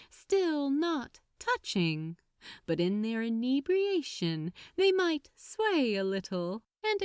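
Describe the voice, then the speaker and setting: Woman reading a story out loud, slow and measured, pitch moves around a lot. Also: clean tone, no echo, clean studio sound. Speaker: audiobook-style fan fiction narrator. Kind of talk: scripted story narration.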